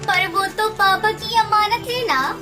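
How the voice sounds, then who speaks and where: A devoted little bird wife from a children's cartoon , acted by a woman. Her voice is extremely high and she speaks slowly, with a worried, plaintive manner. Music runs behind her. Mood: wondering. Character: poor devoted young wife bird, anxious, self-sacrificing. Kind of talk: Hindi children's cartoon acting.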